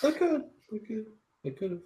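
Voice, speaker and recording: A man's voice: high-pitched, sing-song, moderate pace, mellow. A man co-hosting a podcast, chatting informally in a loose, conversational way. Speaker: podcast co-host. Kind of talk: casual chat, personal anecdotes.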